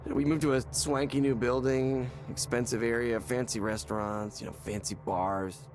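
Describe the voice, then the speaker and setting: An actor playing a despairing, hollow man in a visual novel game, speaking haltingly and repeating words as he searches for them. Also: medium pitch, flat delivery, a little hiss or hum, lightly acted. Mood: self-loathing, gloomy. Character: despairing, hollow man confiding in a counseling session.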